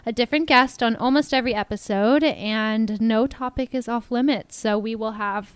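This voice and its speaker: A young woman with a slight Texan twang, a podcast guest chatting casually, upbeat and quick to laugh, saying "like" often. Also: fairly high pitch, clean tone, no echo. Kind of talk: casual interview chat.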